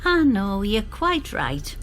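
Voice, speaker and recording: A woman's voice: mid-range voice, big pitch swings, takes it slowly, a bit bright, faint music behind, voice pushed up. A woman voicing a bitter, self-critical older woman with a rueful, tart and emphatic manner in an audio drama. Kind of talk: audio drama storytelling dialogue.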